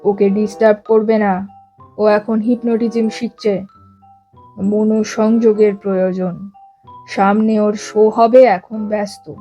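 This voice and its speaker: A woman's voice: mid-range voice, little pitch movement, slow, long pauses, mellow, faint music behind, voice pushed down, lightly acted. Woman voicing stern old magic guru. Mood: dismissive. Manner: curt, bossy, pompous.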